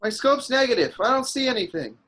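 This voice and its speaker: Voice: mid-range voice, sing-song, brisk, a bit bright. An actor playing an eager, daring young male rebel fighter pilot in a sci-fi script table read. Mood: eager, urgent.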